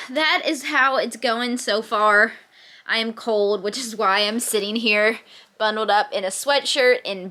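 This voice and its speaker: Vlogger, 20s, feverish and congested, light laughs, crisp bright tone, bedside camera. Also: female voice, medium pitch. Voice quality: occasional cough, slightly stuffy nose. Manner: chatty, laughs through her complaints. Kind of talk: casual chat to camera. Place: in bed at home, bundled in a sweatshirt.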